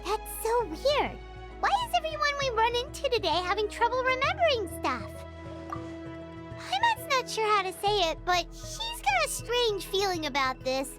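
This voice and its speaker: A woman voicing a small, excitable female fairy companion who talks about herself in the third person, over game music. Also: moderate pace. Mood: puzzled. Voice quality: very high and squeaky.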